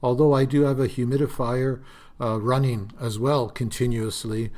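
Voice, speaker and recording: A man in his sixties hosting a solo podcast, talking in a rambling, reflective way with frequent fillers; his voice is slightly raspy and dry. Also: mid-range voice, flat delivery, normal speed, darkish tone. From Canada. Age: 60s. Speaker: podcast host.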